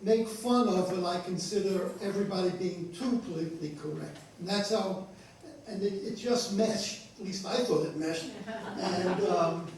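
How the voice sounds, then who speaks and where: Playwright guy explaining stuff, halting and repetitive, far from mic, echoey room. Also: sits high, darkish tone. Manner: halting, repeats phrases. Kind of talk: informal explanation at author talkback. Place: indoor stage at an arts venue. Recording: echoey room, distant mic, faint noise.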